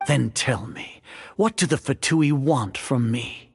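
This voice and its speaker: An actor playing a haughty, older scholarly leader in a video game, lecturing with grandiose contempt. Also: male voice, medium pitch, animated, slow pace, creaky, breathy edge, soft background music, exaggerated voice. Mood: condescending. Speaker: grand sage of an academy.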